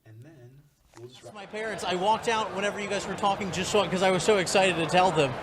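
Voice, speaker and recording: A man's voice: high voice, fast talker, a crowd in the background. A man interviewing guests casually on location. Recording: noisy busy public venue.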